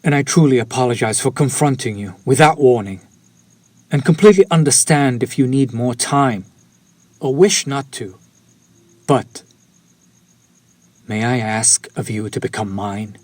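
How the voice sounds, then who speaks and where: A man's voice: medium pitch, a little slow, long pauses, darkish tone, no echo, close to an everyday voice. A man playing a courteous, lovesick, centuries-old vampire in an intimate audio roleplay, with formal, old-fashioned and pleading speech. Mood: pleading, devoted. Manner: formal, archaic, ornate, apologetic phrasing. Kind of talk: scripted romantic audio roleplay, intimate direct address.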